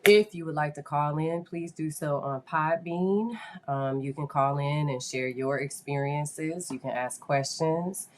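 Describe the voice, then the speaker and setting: Low-voiced congested nasal woman. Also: unhurried. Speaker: podcast host, school psychologist. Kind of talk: casual live podcast chat.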